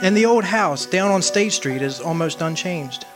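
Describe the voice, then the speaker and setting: A man playing a wistful old soul in a radio drama, remembering his lost love in measured, poetic, solemn narration. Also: sits high, expressive. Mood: quiet, reflective. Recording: music behind the voice.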